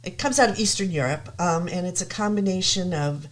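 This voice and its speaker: A woman's voice: lowish voice, fairly quick. A woman from the United States, chatting as a guest on a live show, hesitant with many ums but enthusiastic. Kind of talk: casual chat.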